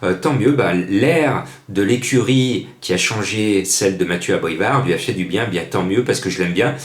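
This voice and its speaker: A man's voice: on the low side, normal speed. A man giving informal horse racing predictions off the cuff, chatty and emphatic, often repeating phrases for effect. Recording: echoey room sound.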